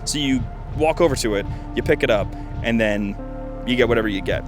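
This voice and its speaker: A man's voice: medium pitch, fairly quick. Guy in his 20s reviewing games, chatty n enthusiastic, jokey asides, music playing behind. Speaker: video host reviewing fan games. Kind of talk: casual commentary.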